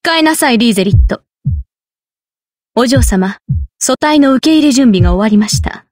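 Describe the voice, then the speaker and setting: Stern, higher-voiced formal maid. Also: female voice, lively intonation, normal speed, darkish tone, no room sound, clean studio sound, soft background music. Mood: stern, businesslike. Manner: strict, formal. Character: stern, formal, dutiful maid to a young lady. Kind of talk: anime visual novel voice acting.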